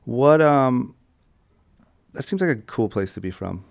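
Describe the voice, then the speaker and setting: A man's voice: mid-range voice, animated, unhurried, vocal fry, dry recording, clean studio sound. A man hosting an interview podcast, talking in an eager, rambling way with lots of fillers and restarts. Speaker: podcast host. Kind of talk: casual interview chat.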